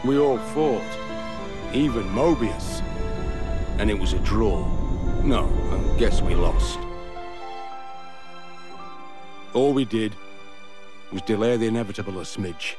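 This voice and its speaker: A male actor as a battle-worn game veteran with a low voice. He lays things out bluntly, with dry, colloquial wryness, over clearly audible music. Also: a little slow, long pauses, close to an everyday voice. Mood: rueful. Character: gruff older veteran fighter, blunt and wry, explaining history. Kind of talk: dramatic video game cutscene dialogue.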